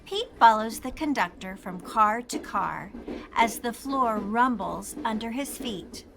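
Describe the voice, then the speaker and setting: A woman reading a children's storybook aloud and asking young listeners questions, in an expressive storytelling manner. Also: a little high, creaky, over music. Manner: slow, expressive delivery aimed at young children. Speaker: children's storybook reader and host. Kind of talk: scripted read-aloud storytelling with quiz questions for kids.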